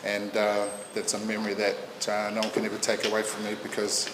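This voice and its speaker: A man in his fifties giving a heartfelt funeral eulogy, halting often as he searches for his words. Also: fairly low pitch, completely flat delivery, background noise. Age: 50s. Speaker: family member giving a eulogy. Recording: roomy echo.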